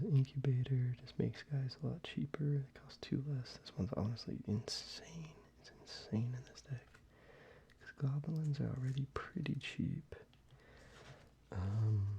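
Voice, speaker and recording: Soft-spoken man, gravelly half whisper. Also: mid-range voice. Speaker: ASMR creator. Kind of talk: soft-spoken ASMR show-and-tell. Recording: slightly muffled, with faint ambient noise.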